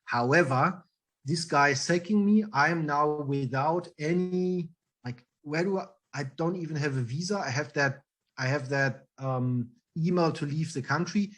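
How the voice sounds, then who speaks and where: A middle-aged man with a thick German accent, a German native speaker telling his career story in an interview, acting out the dialogue with frequent ums and restarts. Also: fairly high pitch. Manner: lively, quick storyteller who acts out quoted dialogue, with frequent ums and restarts. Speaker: guest, trademark attorney.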